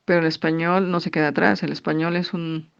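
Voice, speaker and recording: A Mexican woman, an English teacher, answering interview questions in a thoughtful, halting way with many fillers. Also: deep voice, flat delivery, gravelly, clear, resonant tone. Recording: dull lo-fi recording.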